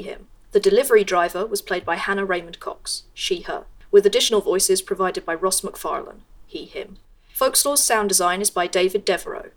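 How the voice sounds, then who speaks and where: A woman reading a podcast's end credits in an even, matter-of-fact way. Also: medium pitch. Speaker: credits announcer.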